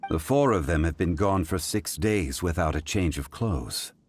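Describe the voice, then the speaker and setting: Calm scholarly game gentleman, low voice, formal and measured. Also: background noise. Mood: calm, analytical. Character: composed, scholarly gentleman investigator with measured temper. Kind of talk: video game character dialogue.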